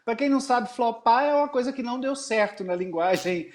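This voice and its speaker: A man's voice: very high-pitched, animated, brisk, a little mellow. Casual man explaining in a radio chat. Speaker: radio show co-host.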